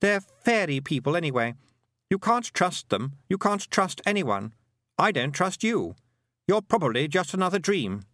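A man voicing a pale, frightened boy who speaks hoarsely and distrusts everyone. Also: high-pitched, sing-song, normal speed, fairly bright tone, no room sound, clean studio sound, voice pushed up. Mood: fearful.

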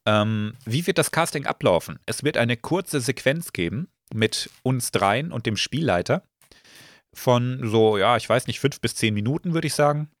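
A slightly hoarse man hosting a casual podcast, relaxed and full of filler sounds. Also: medium pitch, normal speed, no echo, studio quality. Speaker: podcast host.

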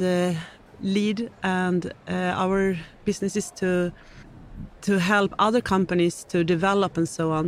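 A woman, a section manager, answering interview questions in a matter-of-fact, businesslike way. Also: fairly low pitch. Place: live event stage.

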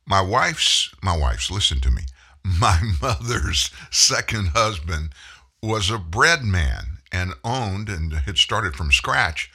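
A man's voice: sits low, bright, forceful tone, husky. A middle-aged man from Houston hosting a radio talk show from a studio, chatting in a folksy storytelling way. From Houston, Texas. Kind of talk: casual monologue. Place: broadcast studio. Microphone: studio microphone.

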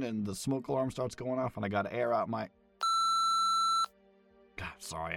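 A man's voice: medium pitch, creaky. Man as rambling, awkward boyfriend. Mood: rambling, earnest. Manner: rambling, apologetic, self-conscious. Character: rambling, awkward, superstitious sports fan boyfriend. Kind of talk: audio drama voicemail monologue.

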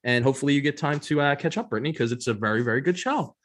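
A man's voice: medium pitch, animated, rapid-fire, fairly bright tone. A man co-hosting a podcast in casual, informal conversation, freely giving his opinions. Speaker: podcast co-host. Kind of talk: casual chat.

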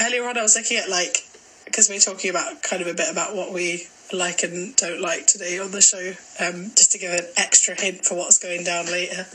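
A woman's voice: lowish voice, steady tone, fairly quick, sharp tone, a little hiss or hum, low-quality recording. A woman in her twenties from Glasgow with a mild in-between accent, co-hosting a casual podcast chat, giggling and trailing off with fillers. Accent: mild, mixed Glasgow accent. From Scotland. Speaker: podcast co-host.

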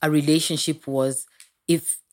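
A woman's voice: sits low, flat delivery, a little slow, a little mellow. A woman with a Kenyan accent interviewing in a conversational way, engaged, interjecting and rephrasing what she hears. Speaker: podcast host.

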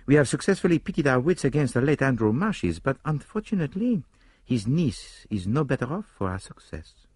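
A man voicing an excitable, self-assured detective with a dramatic, formal way of talking, in an audiobook. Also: medium pitch, normal speed, slightly rough, no room sound, pitched above the performer's own voice. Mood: resigned, wry. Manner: dramatic, exclamatory, formal turns of phrase.

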